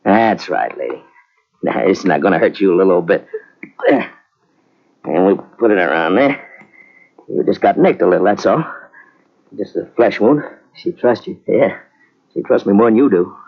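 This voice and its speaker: A gruff, wry soldier narrator; low voice; muffled old radio recording with faint music. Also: male voice, lively intonation, normal speed, long pauses, full character voice. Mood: coaxing. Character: tough wartime soldier narrator, wry and streetwise. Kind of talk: 1940s radio acting.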